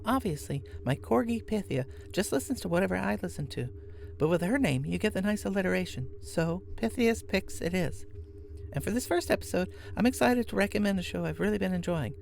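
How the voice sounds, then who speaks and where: A woman's voice: mid-range voice, gravelly, darkish tone, slight background noise. A woman hosting a podcast who recommends a show in a chatty tone with wry asides. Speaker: podcast host. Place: workplace, on lunch break.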